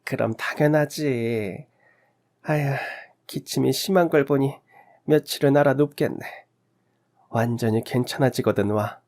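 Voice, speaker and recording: Man playing a raised-pitch motherly neighbour woman. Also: measured pace, a little mellow, lightly acted. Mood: caring. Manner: motherly, fussing, colloquial. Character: kindly, caring middle-aged neighbour woman.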